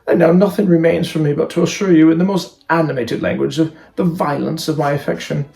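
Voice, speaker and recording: A man's voice: fairly high pitch, fairly even intonation, fairly quick, vocal fry, dark tone, soft background music, voice pushed up. A man voicing a silly, pompous suitor from a storybook, speaking in flowery, self-important phrases. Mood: pompous, effusive. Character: silly, pompous clergyman-like suitor, heir to an estate.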